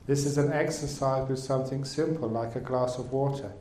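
Measured monk in a roomy hall. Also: male voice, mid-range voice, mellow, slight background noise. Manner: measured and unhurried, pausing in short phrases. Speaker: Buddhist monk teacher. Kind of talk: dharma talk with an interpreter. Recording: roomy echo.

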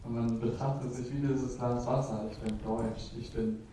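A man's voice: medium pitch, fairly even intonation, normal speed, mellow, slight background noise. A man interpreting a teaching live, phrase by phrase, sometimes hesitating with filler sounds. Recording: very echoey, from a distance.

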